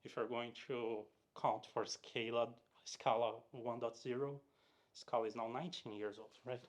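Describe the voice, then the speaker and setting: A man's voice: mid-range voice, fairly even intonation, normal speed. A man from Brazil giving a casual technical talk to a live audience, chatty and full of fillers and restarts, often asking the crowd questions. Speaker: conference speaker. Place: meetup room in front of an audience.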